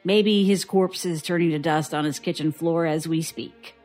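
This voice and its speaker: Actress as a guarded, weary woman, flatly low-voiced. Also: moderate pace, faint music behind, lightly acted. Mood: sardonic. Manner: dry, sardonic, reluctant. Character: guarded, weary woman survivor recounting childhood strangeness. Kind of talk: audio drama acting, interview testimony.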